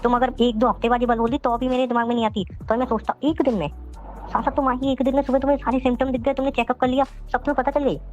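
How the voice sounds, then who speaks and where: A man acting a flustered, hungover young boyfriend in a phone-call drama, questioning repetitively, his voice pitched up and sped up. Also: little pitch movement, fast. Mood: exasperated. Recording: muffled lo-fi call audio. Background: music.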